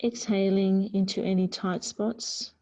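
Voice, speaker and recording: A woman teaching a yoga class, giving gentle, unhurried guidance with pauses between phrases. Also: mid-range voice, fairly even intonation. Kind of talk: guided yoga class instruction. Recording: slightly dull, lo-fi sound.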